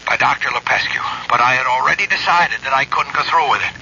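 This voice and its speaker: Agitated, guilt-ridden husband. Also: male voice, mid-range voice, fast, creaky, bright, forceful tone. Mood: desperate, defensive. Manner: agitated, stammering, theatrical. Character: weak, scheming, guilt-ridden husband, nervous and evasive. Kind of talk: radio drama acting. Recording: muffled, hissy old radio recording.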